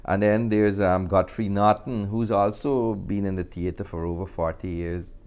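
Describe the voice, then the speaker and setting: A middle-aged man with a Guyanese accent, talking in a halting, reflective way as a film director in an interview. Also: fairly low pitch, steady tone, darkish tone, clear, resonant tone. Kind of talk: conversational interview.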